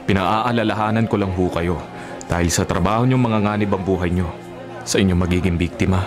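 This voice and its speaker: Actor as deep, earnest young Filipino man. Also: steady tone, brisk, music in the background, natural voice. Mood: earnest, pleading. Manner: polite, earnest. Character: earnest, respectful young man warning his girlfriend's father. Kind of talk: Filipino radio drama acting.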